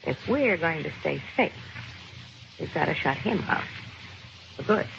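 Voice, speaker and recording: A woman's voice: deep voice, expressive, measured pace, gravelly, heavily acted. An American actress playing a greedy, cold-blooded gangster's girlfriend in an old radio crime drama, brash, slangy and sardonic. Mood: calculating. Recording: dull old radio recording, faint noise.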